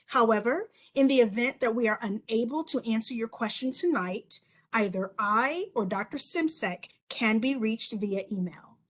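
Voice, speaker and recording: A woman opens a virtual session with formal, polished remarks, clearly reading from a script. Her voice sits fairly high, and she delivers it at an even, businesslike pace over a video call. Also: moderate pace. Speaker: assistant director, session host.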